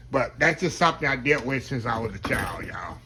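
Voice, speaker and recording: A man, chatting to viewers as he cooks in his home kitchen, his voice slightly hoarse. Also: medium pitch, noisy background. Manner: chatty, keeps addressing viewers as y'all. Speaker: home cook. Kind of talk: casual cooking demonstration.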